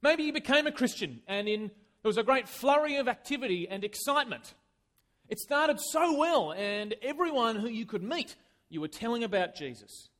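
High, bright-pitched male preacher in a church, chatty and self-deprecating, speaking with lively swings of emphasis. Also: normal speed. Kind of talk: conversational sermon.

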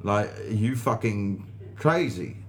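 A man's voice: lowish voice, expressive, slow, a little hiss or hum. An Australian man, a stand-up comedian being interviewed, telling anecdotes casually in an emphatic, sweary way and acting out the dialogue. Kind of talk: animated anecdote in casual conversation.

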